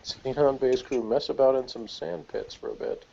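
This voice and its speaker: A man's voice: medium pitch, normal speed, creaky, dark tone, slight background noise. Dull-sounding man in casual review chat. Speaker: panelist in a TV series review. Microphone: video call. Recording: dull lo-fi video call audio.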